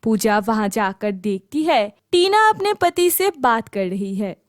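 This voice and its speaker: A woman's voice: fairly high pitch, very animated, a little slow, no echo, clean studio sound. A woman narrating a scripted children's story.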